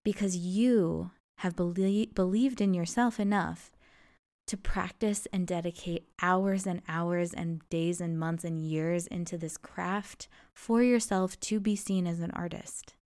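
A woman's voice: on the low side, steady tone, a little slow, a little mellow. A young adult woman hosting a solo podcast, talking in an earnest, encouraging way. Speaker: podcast host. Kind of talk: reflective solo podcast monologue.

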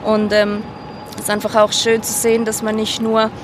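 A woman's voice: a little high, completely flat delivery, moderate pace. A woman giving thoughtful, hesitant answers in a spontaneous street interview. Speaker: street interviewee, care worker. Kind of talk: spontaneous reflective interview talk. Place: outdoors on an urban street. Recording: clear urban street and traffic noise.

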